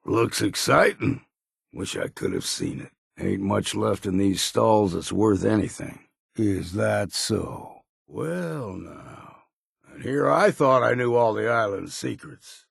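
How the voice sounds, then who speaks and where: An actor playing a grizzled old hard-drinking islander in a video game, with a gravelly, husky voice and a wry, folksy drawl. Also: male voice, on the low side, dry recording, clean studio sound, full character voice. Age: older. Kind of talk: video game character voice lines.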